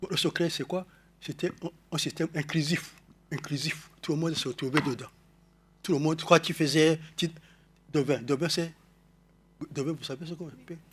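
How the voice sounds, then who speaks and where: A man from Rwanda with a Rwandan French accent, speaking to an audience in a halting, explanatory way, often repeating words and restarting. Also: a little high, steady tone, husky. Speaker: conference speaker. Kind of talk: lecture-style talk to an audience.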